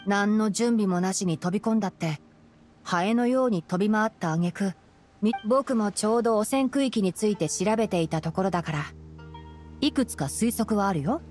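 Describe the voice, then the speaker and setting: A woman playing a young male forest ranger in a Japanese anime game, calm and studious, explaining things in a measured way. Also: mid-range voice, soft background music, lightly acted. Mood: calm, analytical. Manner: measured, matter-of-fact explaining.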